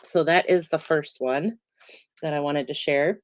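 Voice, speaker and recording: A middle-aged woman demonstrating card making, chatty and relaxed with many ums, still a little congested from a cold. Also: low voice, unhurried. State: congested, getting over a cold. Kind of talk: casual crafting tutorial.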